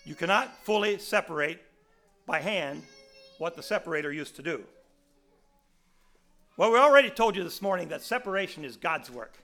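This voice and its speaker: A man in his sixties with a raspy voice, preaching a sermon in a folksy storytelling way with many restarts. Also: high voice, lively intonation, moderate pace, pauses often, pushed and bright.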